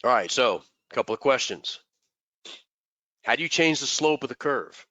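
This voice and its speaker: A man's voice: medium pitch, animated, moderate pace, sharp tone, no echo, dull audio. A man teaching trading in a loose live session, congested and rambling, often repeating words. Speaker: trading mentor. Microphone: desk microphone.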